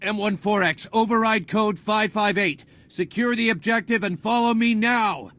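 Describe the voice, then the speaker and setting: Commanding, higher-pitched renegade soldier. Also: male voice, moderate pace, pushed and bright, no echo. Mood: urgent, commanding. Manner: commanding, impatient. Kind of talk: video game voice acting.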